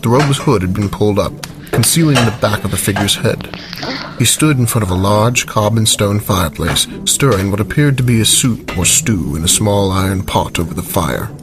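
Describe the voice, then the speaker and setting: A man reads scripted fantasy narration as a storyteller. His voice sits fairly low, and music plays clearly behind it throughout. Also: expressive, normal speed.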